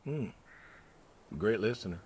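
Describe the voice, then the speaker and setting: A man's voice: lowish voice, animated, unhurried, darkish tone, slight background noise. A man playing an aging, wistful, plainspoken pig farmer, terse and folksy in naturalistic video game dialogue. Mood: reserved, wistful.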